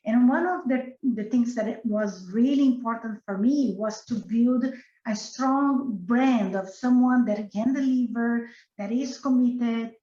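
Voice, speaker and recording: A woman's voice: on the high side, mellow, roomy sound, highs cut off. A middle-aged woman from Brazil with a Brazilian Portuguese accent, speaking earnestly as an interview guest with many fillers and restarts. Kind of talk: casual interview.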